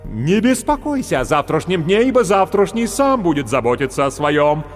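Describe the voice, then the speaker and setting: A man's voice: sits high, huge pitch swings, measured pace, voice pushed up, exaggerated voice. A man voicing a dignified, preachy talking sword with a lofty, instructive manner. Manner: dignified, sententious. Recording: reverberant with background music.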